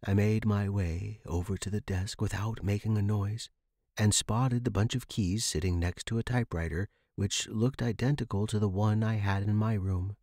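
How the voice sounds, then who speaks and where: A man's voice: fairly low pitch, fairly even intonation, moderate pace, dark tone, dry recording, clean studio sound. A man reading scripted first-person suspense fiction as a podcast narrator.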